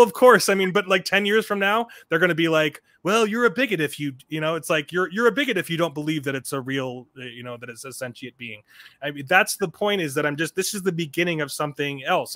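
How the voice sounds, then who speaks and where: A man's voice: on the high side, fairly bright tone, no echo. A middle-aged man hosting a livestream, giving casual commentary in an emphatic, rambling way and often repeating his phrases. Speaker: livestream host. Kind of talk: casual commentary on a livestream, reading articles aloud.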